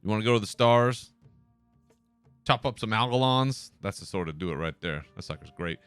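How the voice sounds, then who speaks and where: Brief: male podcast host, smooth clear voice, relaxed solo chat, swears casually. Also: lowish voice, moderate pace, faint music behind. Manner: laid-back, swears, chuckles.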